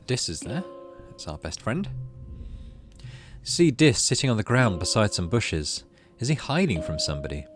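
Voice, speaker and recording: A man hosting a gaming video, reading game text aloud like a storybook and adding casual asides. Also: low-pitched. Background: music.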